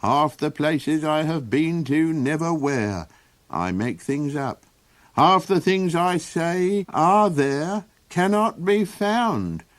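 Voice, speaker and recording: A man's voice: animated, slow pace. A man voicing a whimsical, forgetful little old Gollocks. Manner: high, wondering, sing-song delivery.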